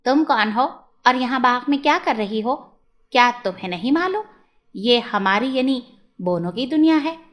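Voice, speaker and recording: A woman voicing a friendly, commanding little male gnome in a children's storybook, in an animated, inviting manner. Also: on the high side, normal speed. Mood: questioning, stern.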